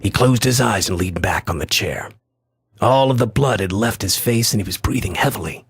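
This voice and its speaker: An anxious, disapproving companion in a radio horror play, played by a man. His voice is fairly low and rough-edged, and he speaks in earnest, formal, emphatic phrases. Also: normal speed, faint music behind, heavily acted. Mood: tense narration. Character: anxious, disapproving male friend and narrator of horror tale. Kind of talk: radio drama acting and narration.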